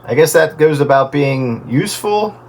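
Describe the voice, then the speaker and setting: A man's voice: fairly high pitch, animated, normal speed. A man chatting casually in a conversation. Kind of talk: casual chat. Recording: radio audio with very loud noise.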